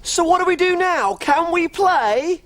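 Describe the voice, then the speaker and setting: A woman's voice: very high voice, animated, measured pace. A woman playing a tiny, playful, childlike cafe cook in a preschool television show, full of exclamations. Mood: excited.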